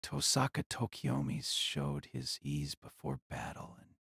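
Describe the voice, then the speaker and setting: A man's voice: a bass voice, very animated, unhurried, vocal fry, a bit bright, husky, dry recording. Dramatic male narrator, dry close sound. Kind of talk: dramatic scripted narration of a fantasy novel.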